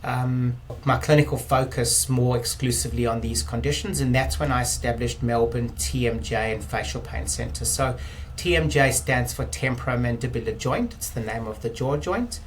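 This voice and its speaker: A South African man in his fifties, a physiotherapist guest in an interview, explaining in a measured way with frequent ums and restarts. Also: mid-range voice, slight background noise. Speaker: guest, clinic director. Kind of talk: interview talk.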